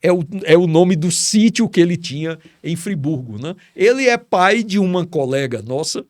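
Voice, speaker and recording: Animated storyteller man, fairly high voice. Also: normal speed, no room sound, studio quality. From Ceará, Brazil. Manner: enthusiastic, admiring storyteller. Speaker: writer and guest. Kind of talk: storytelling in a casual interview.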